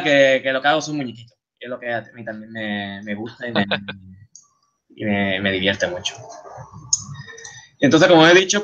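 A man in his thirties with an Andalusian Spanish accent, giving a relaxed, chatty talk over slides, with frequent restarts and fillers. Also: medium pitch, dull audio. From Seville, Spain. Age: 30s. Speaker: guest speaker, game artist.

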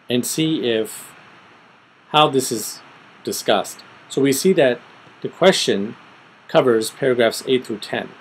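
Methodical man narrating, clear background noise. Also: medium pitch, poor audio. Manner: methodical, step-by-step explaining with pauses. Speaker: tutorial presenter. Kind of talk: informal screen-recorded software walkthrough, thinking aloud. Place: small room at home, indoors.

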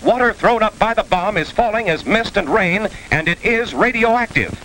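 A man's voice: high-pitched, animated, moderate pace, fairly bright tone. A man with an American accent playing an urgent, official civil defense radio announcer in a 1950s film. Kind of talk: 1950s emergency radio bulletin. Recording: noisy old 1950s film soundtrack.

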